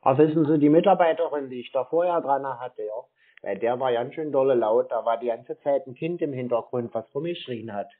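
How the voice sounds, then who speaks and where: A man's voice: medium pitch, a little fast. A man in a casual phone conversation as a customer, insistent and repetitive in a naive-sounding way. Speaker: prank caller posing as customer. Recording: tinny narrow phone line.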